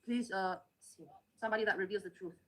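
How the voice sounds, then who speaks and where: Husky Austrian-accented woman vlogger. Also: medium pitch, fast talker. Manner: rambling, chatty. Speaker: vlogger host. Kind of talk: casual reaction commentary.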